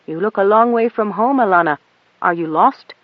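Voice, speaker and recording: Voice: medium pitch, normal speed, dry recording, phone-quality sound, voice pushed up, lightly acted. An audiobook narrator voicing a kind, blunt airship pilot with a folksy way of talking. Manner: warm, folksy, drops g's.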